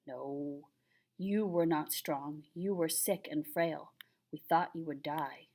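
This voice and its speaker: Woman playing an old grandfather, storybook, solemn, patient, tender, low pitch, slow measured pace. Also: darkish tone, full character voice. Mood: gentle, solemn. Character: patient, solemn old Navajo grandfather recounting a birth tale. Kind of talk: children's picture book read aloud.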